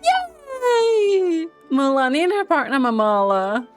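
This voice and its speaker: A woman's voice: very high-pitched, big pitch swings, slow pace, music in the background. A woman giving casual gameplay commentary, reading game text aloud and cheering her pet with giggles. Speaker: gaming video creator.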